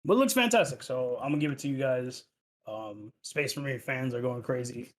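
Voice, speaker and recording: A man's voice: medium pitch, a little fast, poor audio. A man hosting a casual gaming podcast, talking loosely with lots of fillers, restarts and swearing. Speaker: podcast host.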